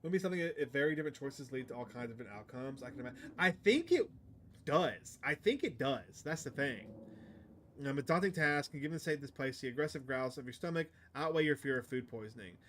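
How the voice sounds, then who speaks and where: Male streamer, medium pitch, casual play-by-play mixed with read-aloud text and muttered asides, noticeably noisy recording. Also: lively intonation, fast, fairly bright tone. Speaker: streamer playing a visual novel.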